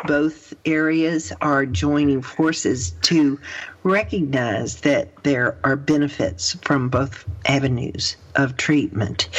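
A woman's voice: deep voice, slightly rough. A woman hosting a conversational interview in an encouraging, agreeable manner. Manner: encouraging, often murmurs agreement. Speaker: radio show host. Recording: dull lo-fi radio audio.

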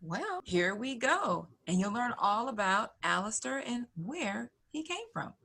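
A woman's voice: fairly high pitch, very animated, measured pace, creaky, pushed and bright. A woman co-hosting a podcast with casual banter. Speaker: podcast co-host.

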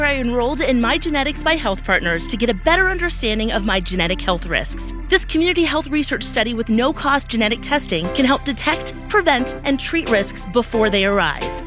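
Female radio ad read, high and animated, scripted testimonial over clear music. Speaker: radio host reading a sponsor endorsement.

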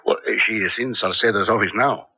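Army colonel, low n raspy, formal, deferential, old radio drama sound. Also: male voice, fairly quick, no echo. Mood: dutiful. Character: dutiful military colonel reporting to his general. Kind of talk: 1970s radio drama acting. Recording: dull vintage radio broadcast.

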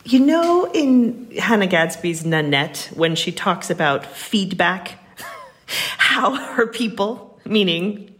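A woman's voice: medium pitch, lively intonation, slow, fairly bright tone. A woman answering as an interview guest, speaking conversationally in an earnest, emphatic way.

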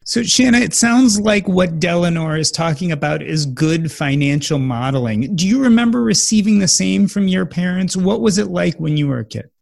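A man's voice: high-pitched, lively intonation, normal speed, vocal fry, few pauses, darkish tone. A man co-hosting a podcast, interviewing guests with direct follow-up questions. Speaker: podcast co-host.

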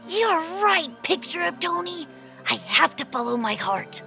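A woman's voice: extremely high pitch, big pitch swings, a little slow, gravelly, bright, forceful tone, soft background music, exaggerated voice. A woman voicing a whimsical, earnest young female inventor in a family audio drama. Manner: whimsical, earnest, talks to herself. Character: dreamy, plucky young female inventor eager to fly.

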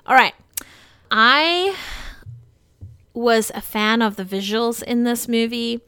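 A woman's voice: on the high side, expressive, slow pace, sharp tone, smooth, dry recording. A woman co-hosting a film podcast, talking casually and informally with conversational asides. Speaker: podcast co-host.

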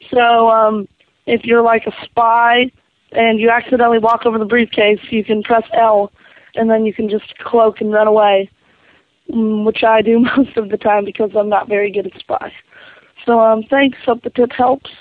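A hesitant caller leaves a voicemail tip in a flat, monotone voice. The sound is tinny and dry, like a phone line. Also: mid-range voice, no echo.

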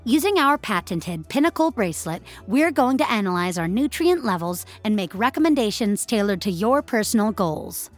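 A woman's voice: mid-range voice, sing-song, normal speed, few pauses. A woman plays an encouraging fitness app assistant in an audio fiction story. The voice is cheery, instructive and slightly raised, with light music behind it. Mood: cheery, helpful.

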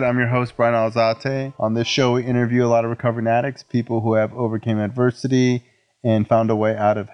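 A man's voice: medium pitch, clear, resonant tone, muffled recording. A man hosting an interview podcast, asking short questions and prompting with "mm-hmm"s. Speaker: podcast host. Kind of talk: interview host.

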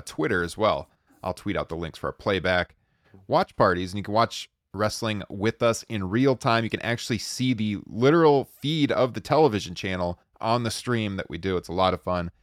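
A man hosting a podcast, relaxed and laughing often, wrapping up the show with plugs. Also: fairly low pitch, dry recording, studio quality. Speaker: podcast host. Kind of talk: casual chat and show sign-off.

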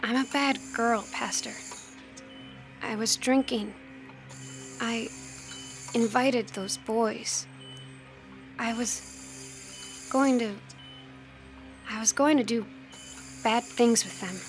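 A woman's voice: a little high, steady tone, slow, long pauses, faint music behind. An American woman playing a guilt-ridden, earnest eighteen-year-old girl in an audio drama, speaking hesitantly. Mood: troubled, guilty.

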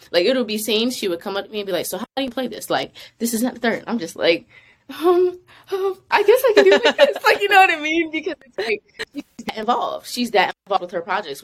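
A young woman, an actress, chatting casually in an interview, laughing often and filling her talk with "like". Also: medium pitch. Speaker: actress guest.

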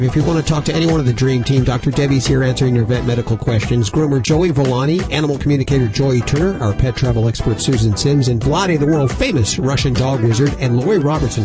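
Male radio host, quick delivery, bantering and announcing over prominent music. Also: mid-range voice.

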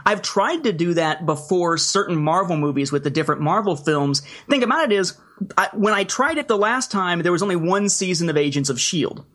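A man hosting a podcast in casual chat, speaking in a measured, mildly wry way. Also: high voice, animated, fairly bright tone. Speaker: podcast host.

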